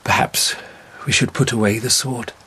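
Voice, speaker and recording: An actor in a radio drama playing a gentle, grave Emperor who speaks deliberately and with courteous authority. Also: male voice, medium pitch, steady tone, close to an everyday voice. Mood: grave, placating. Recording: faint background ambience and noise.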